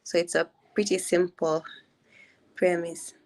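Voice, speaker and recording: A woman from Tanzania with a Tanzanian accent, a doctor explaining her app on a video call, often restarting words and slowing on key points. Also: on the low side, level tone, darkish tone. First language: Swahili. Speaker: doctor pitching a health app. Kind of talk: video call mentoring session.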